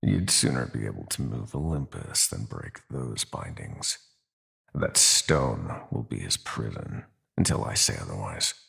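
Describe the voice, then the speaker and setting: A man playing a menacing, gloating god of nightmares in an audio drama, speaking in silky, mocking threats with theatrical slowness. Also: expressive, fairly bright tone, exaggerated voice. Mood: smug, cruel. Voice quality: very deep, gravelly, breathy. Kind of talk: roleplay audio drama acting.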